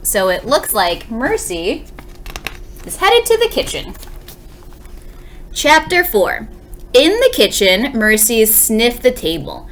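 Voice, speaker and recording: A woman's voice: fairly high pitch, very animated, slow, pauses often, a bit bright, slight background noise. A woman, a second grade teacher, reading a storybook aloud expressively and explaining it for young listeners. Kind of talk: expressive children's read-aloud.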